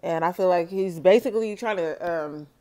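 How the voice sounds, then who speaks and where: Mellow-voiced woman giving a tarot reading. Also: fairly low pitch. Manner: slow, measured, repeats "okay?" to viewers. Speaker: tarot reader. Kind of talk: solo tarot reading video.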